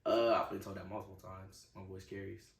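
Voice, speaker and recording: Loud gravelly young man host. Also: low voice, level tone, fairly quick. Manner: loud, carrying voice. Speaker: podcast host. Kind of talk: casual chat. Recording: echoey room, distant mic.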